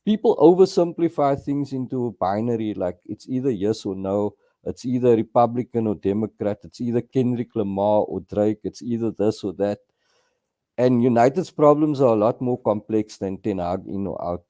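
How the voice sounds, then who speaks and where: A middle-aged man calls in to argue about football. His voice is mellow and dark, and he stumbles and repeats words while he gets going. The sound is close and dry. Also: fairly low pitch, no echo. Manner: halting, repeats words, argues from club history. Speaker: caller. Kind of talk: call-in football debate.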